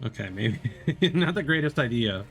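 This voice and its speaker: A man's voice: mid-range voice, very animated, normal speed, a bit bright. A man streaming a solo game, chatting and musing aloud, with mild complaints, over faint game music. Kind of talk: casual gameplay commentary.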